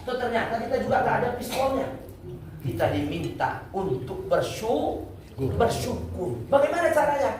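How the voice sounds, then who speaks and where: Animated preacher in an echoey mosque. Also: male voice, very high voice, moderate pace. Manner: animated, asks the audience questions and answers them himself. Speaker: Islamic preacher. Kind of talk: lively mosque sermon. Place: mosque prayer room. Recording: echoey room, distant mic, faint noise.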